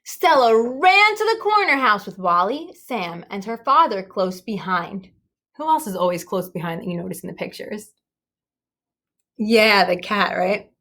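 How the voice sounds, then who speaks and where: A woman's voice: medium pitch, measured pace. A woman reading a children's story aloud expressively, with little asides and questions to her listeners. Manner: animated, engaging questions to listeners. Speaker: storybook reader.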